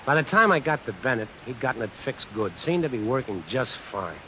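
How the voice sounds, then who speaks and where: A man's voice: mid-range voice, sing-song, a little fast, background noise, lightly acted. A man acting a tough, vengeful drifter who narrates his own story in old-time radio suspense style, wry and slangy. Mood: grim, musing. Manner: hard-boiled, wry, slangy. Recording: vintage radio recording under music, rain. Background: music and rain.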